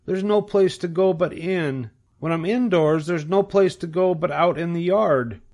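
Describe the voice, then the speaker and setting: A man's voice: high-pitched, unhurried, a little mellow, voice pushed up. A man voicing a naive, weary young male pig who talks in a plaintive, hesitant way.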